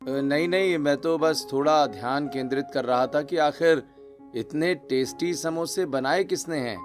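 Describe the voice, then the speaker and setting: A man voicing a courteous young man in an animated family story. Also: on the high side, unhurried, soft background music, close to an everyday voice. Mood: admiring. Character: courteous young man, suitor and his brother. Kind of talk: Hindi animated story character voice.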